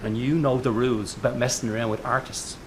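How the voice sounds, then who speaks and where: A man's voice: mid-range voice, fairly even intonation, quick pace, a little hiss or hum, lightly acted, rough recording. A man playing a weary, irritable middle-aged circus boss in a radio drama, speaking in a curt, exasperated way. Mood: accusing, irritated. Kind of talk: naturalistic radio drama acting.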